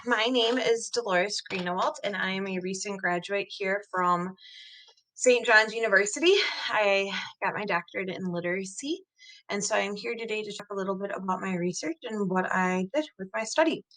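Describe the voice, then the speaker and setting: A woman's voice: mid-range voice, moderate pace, fairly bright tone, rough recording. A young adult woman whose first language is Croatian, earnestly presenting her research study over slides, recorded on a laptop webcam. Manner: earnest, explanatory, frequent "um" fillers. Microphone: laptop webcam video call.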